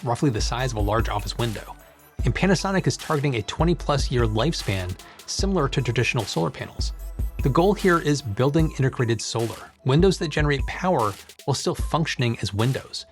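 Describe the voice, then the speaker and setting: A man presenting a scripted tech explainer clip in an upbeat, enthusiastic way. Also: medium pitch.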